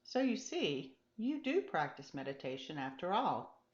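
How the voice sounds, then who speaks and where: A woman voicing a calm, patient, encouraging meditation teacher in a storybook, gently reassuring as the teaching goes on. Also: low voice, unhurried, roomy sound, highs cut off. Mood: reassuring, instructive. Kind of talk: storybook character dialogue.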